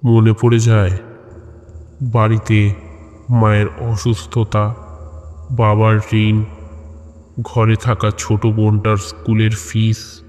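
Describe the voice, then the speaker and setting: Male narrator for horror audio fiction: extremely slow, suspenseful pauses, mid-range pitch, long reverb. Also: completely flat delivery, a little mellow. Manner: suspenseful, dramatic pauses between short phrases. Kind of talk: scripted horror story narration.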